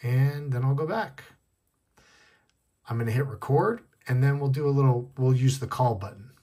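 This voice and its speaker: A man with a slightly husky voice, giving a casual hands-on tech tutorial, explaining step by step and repeating key points. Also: medium pitch, expressive, moderate pace, mellow. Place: indoors at a wooden desk.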